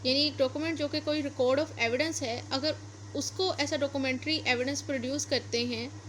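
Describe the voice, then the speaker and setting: Pakistani lady teacher explaining stuff step by step, bright high voice, bit of noise on the recording. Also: steady tone, moderate pace. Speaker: law lecturer. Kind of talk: explanatory lecture, reading out articles then explaining. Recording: noticeable background noise.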